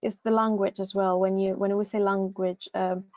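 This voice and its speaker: A woman's voice: mid-range voice, flat delivery, normal speed, mellow, no echo. A Greek woman with a Greek accent, speaking English as a second language, gives casual advice for a university recruitment session. She hesitates often, with many fillers and restarts. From Greece.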